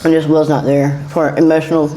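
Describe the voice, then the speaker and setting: Woman in her 40s, from south carolina, flat mellow voice, hesitant talk. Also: deep voice, rough recording. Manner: hesitant, halting with many fillers. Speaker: guest. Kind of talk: casual interview answers.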